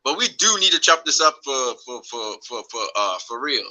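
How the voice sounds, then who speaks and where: A man's voice: medium pitch, big pitch swings, moderate pace, sharp tone. A man from Trinidad and Tobago hosting a casual livestream chat on his phone, rambling and repeating words as he goes. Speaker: livestream host.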